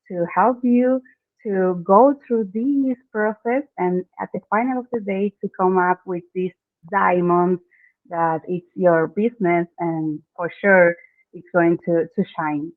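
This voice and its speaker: A woman's voice: lowish voice, no room sound. A woman from Argentina whose first language is Spanish, speaking English with an Argentine accent and explaining her work step by step as a podcast guest. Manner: earnest, explains step by step in non-native English with "okay". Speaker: guest, branding expert. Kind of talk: podcast interview. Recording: dry, phone-like remote call audio.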